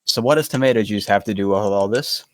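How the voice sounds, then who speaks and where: Deep-voiced man reading an explainer aloud. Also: fast. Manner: stumbles over words and breaks off to joke. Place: online group voice chat.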